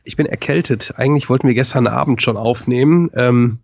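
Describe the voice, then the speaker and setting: Ein erkälteter Mann mit norddeutschem Akzent, Co-Gastgeber, der zögerlich und mit vielen Füllwörtern plaudert. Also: male voice, medium pitch, brisk, creaky, darkish tone, no echo, highs cut off. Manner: hesitant, many fillers. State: stuffy nose from a cold. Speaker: podcast co-host. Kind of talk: casual chat.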